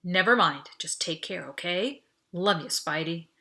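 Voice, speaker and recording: Woman acting brisk, bright-voiced protective father. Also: fairly low pitch, animated, heavily acted. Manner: brisk, clipped, concerned. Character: brisk, protective father calling his child from space. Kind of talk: audiobook character dialogue.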